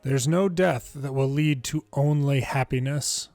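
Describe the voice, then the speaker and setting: A man's voice: medium pitch, unhurried, a little mellow, no room sound. A man narrating a video game story, reading scripted dialogue and text aloud. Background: faint game music.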